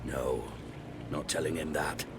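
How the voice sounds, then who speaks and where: A man's voice: extremely low pitch, steady tone, measured pace, background noise. An actor playing a shaken, defensive seafaring crewman in a fantasy game, rueful and old-fashioned in his turns of phrase. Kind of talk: video game dialogue acting.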